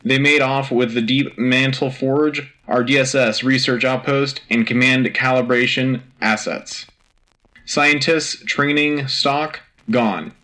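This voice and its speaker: Man playing a forceful wartime radio news anchor. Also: medium pitch, fairly even intonation, takes it slowly. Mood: grave, resolute. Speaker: radio host. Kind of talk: scripted military news bulletin.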